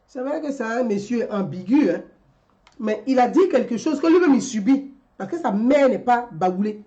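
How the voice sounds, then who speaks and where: A woman's voice: fairly high pitch, lively intonation, normal speed, dark tone, dull audio. A woman, delivering a rhetorical political commentary and repeating her questions. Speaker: commentary vlogger.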